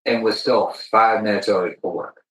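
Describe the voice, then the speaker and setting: A man with a fairly low voice, heard in a roomy, echoing space. He tells stories in a casual, reflective podcast style, earnest and emphatic, pausing between short phrases. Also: fairly even intonation, crowd noise. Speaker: podcast co-speaker. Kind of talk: casual reflective conversation, storytelling. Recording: roomy, echoey sound.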